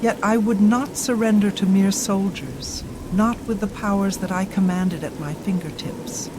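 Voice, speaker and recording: A woman voicing a proud fallen sorceress in a fantasy game, speaking in a measured, formal and solemn way. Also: medium pitch, background noise, natural voice. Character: proud fallen sorceress, a damned soul, faintly regretful. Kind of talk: fantasy video game character acting.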